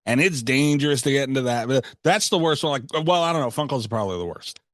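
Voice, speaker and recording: A man's voice: medium pitch, quick pace, a bit bright, no echo, clean studio sound. A man in casual podcast chat as a co-host, talking in a laid-back, slangy way.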